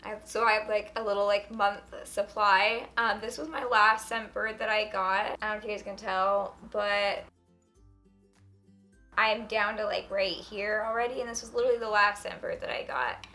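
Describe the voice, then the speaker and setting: A young woman with a hoarse voice from a sore throat, chatting casually as she reviews beauty products, full of filler words. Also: medium pitch, steady tone, moderate pace, pushed and bright, faint music behind. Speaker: beauty vlogger.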